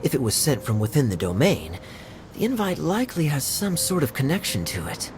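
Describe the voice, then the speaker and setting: A man playing a suave, teasing, quick-witted young adventurer in a video game cutscene, wry and deliberate. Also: a little high, huge pitch swings. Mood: pondering. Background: background music.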